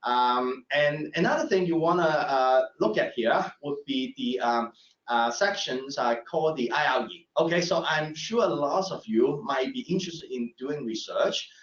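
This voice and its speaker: A middle-aged man, a professor giving a live admissions webinar, speaking haltingly with many fillers and restarts. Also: mid-range voice, fairly bright tone. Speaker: professor presenting admissions talk. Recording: echoey room, distant mic, dull sound.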